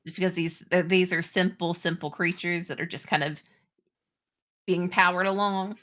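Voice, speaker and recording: Woman game master, bright lowish voice, kinda halting as she makes up the scene for players. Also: steady tone. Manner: halting, conversational, pieced-together descriptions. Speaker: game master of a tabletop roleplaying game. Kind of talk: improvised game narration and rules talk.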